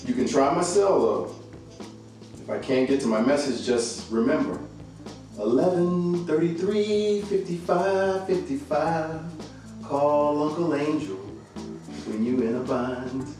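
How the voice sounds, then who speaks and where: A man's voice: a little high, slow, a little mellow. A man acting in a radio drama as an easygoing, worldly uncle who banters with affection and teases protectively. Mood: teasing. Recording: very echoey, distant mic, faint music.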